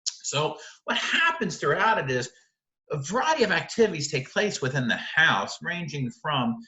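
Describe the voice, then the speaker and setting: Animated male teacher in an echoey room. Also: a little high, moderate pace. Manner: emphatic, checks in often with rhetorical questions. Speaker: literature teacher. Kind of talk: informal video lecture analysing a short story.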